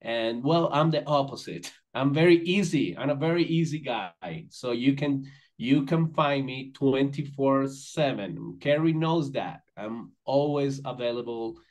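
A man's voice: mid-range voice, measured pace, a little mellow. Man talking on a video call. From Venezuela. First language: Spanish. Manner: friendly, rambling with restarts and fillers. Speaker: company managing director, guest. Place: indoors with virtual backdrop. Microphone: video call, computer mic.

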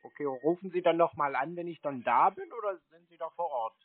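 A man's voice: a little high, expressive, fairly quick, dry recording. A man on a phone call who acts obtuse and confused, asking question after question. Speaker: scam-baiter playing a called customer. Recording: narrowband phone line.

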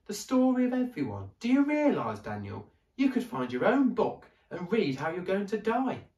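A quick, daring young girl, put on by a male storyteller, pitched up high and pushing every line with bright urgency. The voice rings in a roomy space and sits back from the mic. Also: distant mic, dull audio, exaggerated voice. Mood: excited. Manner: high, excited, emphatic delivery. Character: bold, clever young girl, eager and quick.

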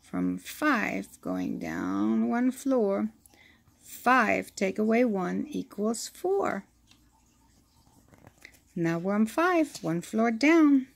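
A woman reading a children's picture book aloud, with an expressive storytelling delivery. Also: medium pitch, vocal fry. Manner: slow, expressive reading with acted-out sound effects.

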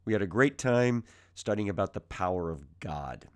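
A man with a strained, raspy voice while ill, teaching the Bible verse by verse from his basement, calm and conversational with frequent fillers. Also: low voice, normal speed. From United States. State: ill with mild symptoms. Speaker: preaching minister. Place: home basement.

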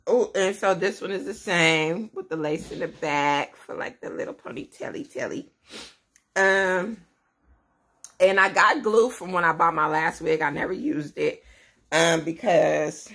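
A woman's voice: fairly low pitch, vocal fry, fairly bright tone. A woman, chatting casually to camera at home, rambling and laughing as she talks. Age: 30s. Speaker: vlogger, mother of many. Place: small indoor room at home.

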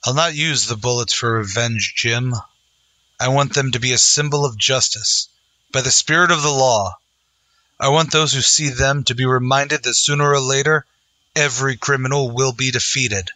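A man plays a resolute lawman hero in an old-time western radio show. His voice is bright and forceful at a middling pitch, and he speaks with solemn earnestness. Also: moderate pace, no room sound, natural voice, low-quality recording.

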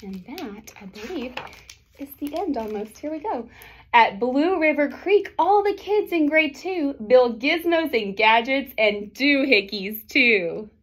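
A woman in her thirties reads a picture book aloud in a slightly high voice. Her pace is slow and deliberate, with expressive, dramatic phrasing and little asides to young listeners. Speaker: storybook reader for children. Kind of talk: expressive children's read-aloud. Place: small indoor classroom or office.

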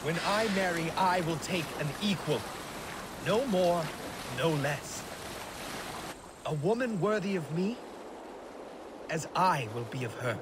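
A young Viking warrior muses proudly and poetically in a fairly high voice. His game monologue is measured and wistful, set against loud water and boat noise. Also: lively intonation. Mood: proud, resolute. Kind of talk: dramatic monologue in a video game. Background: rushing water, boat ambience.

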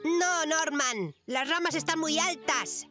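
A woman plays a careful, worried young boy in a cartoon, with a Castilian accent. The voice is extremely high, plaintive and hesitant, over background music. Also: slow pace. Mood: worried, annoyed. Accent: Castilian Spanish. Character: cautious, worried, well-behaved young boy. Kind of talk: animated children's series dubbing.

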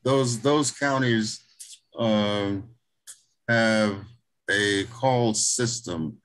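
Deep-voiced man giving halting testimony. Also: a bit bright, rough recording. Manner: halting, many "uh" fillers and restarts. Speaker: state representative presenting a bill. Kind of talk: formal testimony at a hearing. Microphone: video call.

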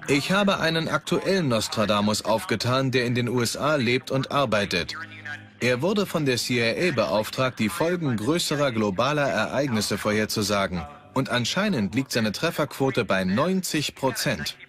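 A man's voice: medium pitch, lively intonation, moderate pace, a bit bright, faint music behind, close to an everyday voice. A man speaking in a dubbed documentary voice-over, in the part of an investigator. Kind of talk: German documentary voice-over dub.

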